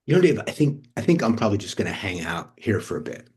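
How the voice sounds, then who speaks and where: A man's voice: lowish voice, very animated, fast, a little mellow. An actor reading the part of a laid-back, wounded, affectionate man who talks in loose, hesitant, sweary phrases at a script table read. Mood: wistful, affectionate.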